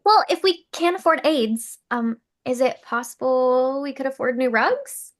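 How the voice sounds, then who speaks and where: A woman's voice: high voice, expressive, measured pace. A woman playing an eager, slightly awkward young teacher in a sitcom table read, chatty and hesitant. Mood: hopeful pitching. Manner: chatty, hesitant, people-pleasing. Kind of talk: sitcom table read, mockumentary talking heads.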